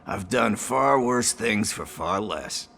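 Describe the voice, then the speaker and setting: An actor playing a cold, cynical, hardened man in a video game, with a gravelly voice. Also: lowish voice, animated, slow, bright, forceful tone, in a large hall, full character voice. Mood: grim, cynical.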